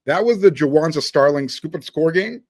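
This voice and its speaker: A man's voice: a little high, lively intonation, moderate pace, creaky, a little mellow, no echo, clean studio sound. A man hosting a sports podcast, talking in a conversational way with emphatic phrasing. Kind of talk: casual sports talk with read-out sponsor spots.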